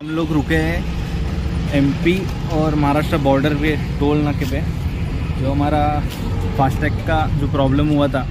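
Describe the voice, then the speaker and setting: A man's voice: on the high side, little pitch movement, normal speed. A man narrating his road trip in a casual travel vlog, chatting as he drives and mixing in English words. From Maharashtra. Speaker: travel vlogger. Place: in a car on the road. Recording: loud music over the voice.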